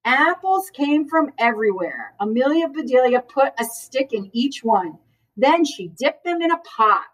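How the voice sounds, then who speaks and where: A middle-aged woman reading aloud at children's story time in an expressive, teacherly manner. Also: sits high, unhurried. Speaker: school librarian reading aloud. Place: school library, indoors. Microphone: far camera. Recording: distant camera microphone.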